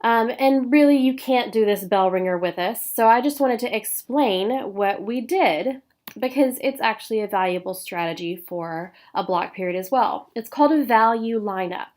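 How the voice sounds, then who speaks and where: A woman with a stuffy nose from a cold, giving a recorded professional development talk for teachers with clear, paced explanation and frequent "um"s. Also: on the high side, moderate pace, a little mellow. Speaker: instructional coach.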